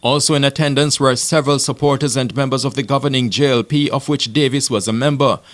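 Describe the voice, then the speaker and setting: A man reading a scripted news report in a measured, even way. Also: medium pitch. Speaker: news narrator.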